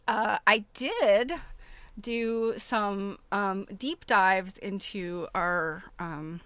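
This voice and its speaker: A woman hosting a casual livestream, chatting to her viewers in an expressive, giggly, informal way. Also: medium pitch, drawn-out words, clean tone, no room sound. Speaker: livestream host.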